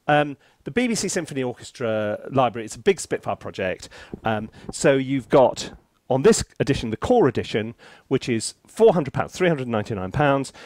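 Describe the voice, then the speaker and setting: Animated British man presenting, close dry sound. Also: mid-range voice, dry recording. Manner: jokey, self-interrupting, theatrical asides. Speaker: composer reviewing music software, presenter. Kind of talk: casual, chatty tutorial to camera.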